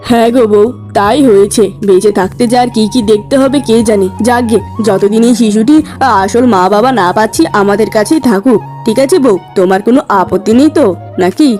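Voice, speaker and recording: A man's voice: high-pitched, normal speed, a little mellow, soft background music, close to an everyday voice. An actor playing a cheerful, carefree fisherman husband in a fairy-tale cartoon, with a coaxing, exclamatory delivery. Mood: amazed, tender.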